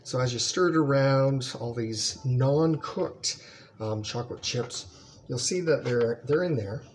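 A middle-aged man giving a casual cooking demonstration in his home kitchen, relaxed and full of ums as he stirs, with clatter behind him. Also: medium pitch, measured pace. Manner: relaxed, talks while stirring, many ums. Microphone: built-in camera mic, close framing.

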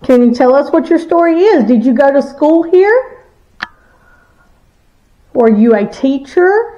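High-voiced woman coaxing spirits. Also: animated, dark tone, lo-fi sound. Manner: coaxing, slow questions to spirits. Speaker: paranormal explorer host. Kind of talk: casual ghost-hunting chat. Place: abandoned school, indoors.

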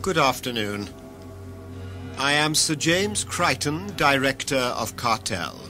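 Imperious titled ruler played by a man, animated delivery. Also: mid-range voice, slow, faint music behind. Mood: cool, formal. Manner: formal, pompous, imperious. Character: self-assured titled male director of a future ruling cartel. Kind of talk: audio drama acting.

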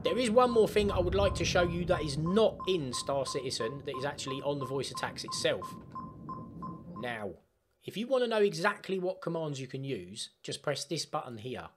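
A man chatting casually through a step-by-step software tutorial for gamers. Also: fairly high pitch, very animated, moderate pace, over music. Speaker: gaming tutorial host. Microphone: desktop microphone, voice-over of screen capture.

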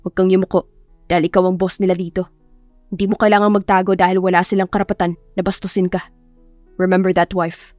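Woman doing a curt, lowered-voice young male boss. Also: flat delivery, fast, a little mellow, no echo, faint music behind, lightly acted. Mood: firm, protective. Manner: blunt, commanding, switches into English. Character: curt, protective young male CEO husband, cool and commanding. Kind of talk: dramatised audiobook dialogue.

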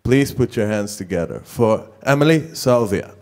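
A young man hosting a talk night on stage with a handheld mic, friendly and halting, filling his introductions with "uh". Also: medium pitch, animated, a little mellow. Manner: friendly, halting, with many fillers. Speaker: host. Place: stage in a theater hall.

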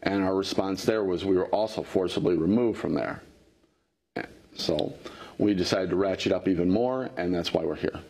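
Middle-aged man, working-class interviewee, husky and lowish, earnest, lapel mic in studio. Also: normal speed, darkish tone. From Illinois. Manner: earnest, grows heated and higher when indignant. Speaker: factory worker and interviewee. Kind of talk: interview answers. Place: television studio.

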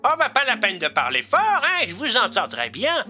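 A man's voice: high voice, huge pitch swings, moderate pace, sharp tone, slightly rough, highs cut off, faint music behind, voice pushed up, exaggerated voice. A man voicing a dotty, hard-of-hearing elderly woman on the phone, hesitant and querulous, in an audio drama. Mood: confused, querulous.

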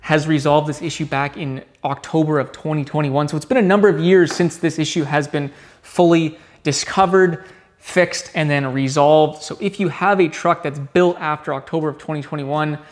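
An upbeat young man hosts a car channel and explains a topic straight to camera. His voice sits a little high for a man. He stands in a large garage workshop, speaking into a hidden mic. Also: moderate pace. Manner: upbeat, talks with his hands. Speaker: automotive channel host.

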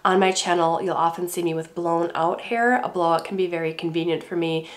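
A middle-aged woman with a congested voice from a cold, calmly explaining her hair care routine to camera at home. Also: on the low side, fairly quick. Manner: calm, gentle, explaining step by step. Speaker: beauty vlogger. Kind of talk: casual talk to camera. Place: home room indoors.

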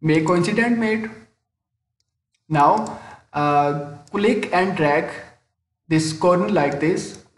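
A man narrating a step-by-step software tutorial as an instructor, hesitant and often pausing mid-sentence, in a voice-over with room echo. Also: high voice. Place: indoor room, screen-recording voice-over. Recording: roomy, echoing voice-over.

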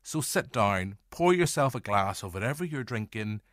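A Northern Irish man speaks as a podcast host in a fairly low voice. He addresses the listener directly with playful, deliberate asides. The recording is close and dry. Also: animated, a bit bright, no room sound. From Northern Ireland. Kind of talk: scripted-sounding podcast introduction.

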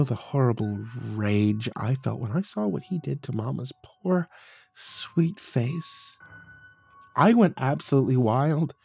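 A man's voice: medium pitch, darkish tone, breathy edge, lightly acted. A man voicing a murderous, monstrous woman who tells her grim childhood tale with theatrical relish in a horror story.

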